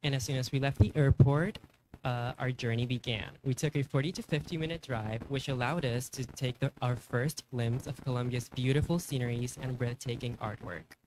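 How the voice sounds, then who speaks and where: A young man presents in English at a podium microphone, his voice at a middle pitch. The delivery is rehearsed and polite, with steady background noise under it. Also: moderate pace. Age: young adult. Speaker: fashion design student. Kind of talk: student presentation. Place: indoor university event stage. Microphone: podium microphone on a stand.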